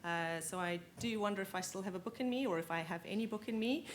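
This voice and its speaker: A woman with a South African accent introduces herself to a conference audience. Her voice sits at a middle pitch, and her manner is friendly and easy. A faint low rumble runs under the recording. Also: quick pace. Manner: friendly, laughs easily. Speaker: panelist, analytics team lead. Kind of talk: self-introduction on a panel. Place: conference panel.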